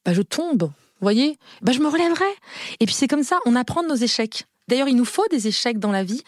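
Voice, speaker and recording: A woman's voice: a little high, lively intonation, brisk, dry recording, clean studio sound. A woman, a lawyer answering podcast interview questions in an earnest, frank way, often hesitating and repeating herself. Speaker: lawyer and law firm partner.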